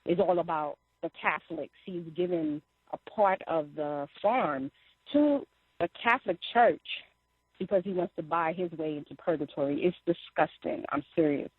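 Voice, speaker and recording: A woman's voice: on the low side, husky, dry recording. A young adult woman from Liberia calls in and talks with feeling, stumbling over her words and circling back. She comes through a thin, tinny phone line with a dry, close sound. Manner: impassioned, halting with many fillers. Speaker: caller. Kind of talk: phone-in call to a talk show.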